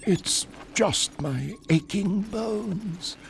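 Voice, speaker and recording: A man's voice: sits high, animated, drawn-out words, gravelly, soft background music, full character voice. A man playing an aged, learned sage in a video game, speaking in a solemn, formal, theatrical way. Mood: earnest. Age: older. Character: aged bewhiskered male sage, grave and learned.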